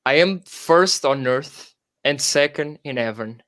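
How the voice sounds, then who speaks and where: A man's voice: medium pitch, lively intonation, slow pace, fairly bright tone, no room sound, clean studio sound. A man speaking casually as co-host of a livestream.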